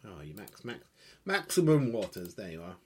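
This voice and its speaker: A man narrating a tabletop horror game as game master, improvising in a conversational, halting way and thinking aloud. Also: fairly low pitch, very animated, noisy background.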